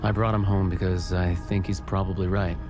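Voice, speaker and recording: A male actor voices a low-pitched, cynical fighter pilot narrating a war game story. He is casual, slangy and wry, a roguish storyteller. A loud score plays behind him. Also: little pitch movement, fairly quick, music in the background, close to an everyday voice. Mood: rueful. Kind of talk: scripted cinematic narration.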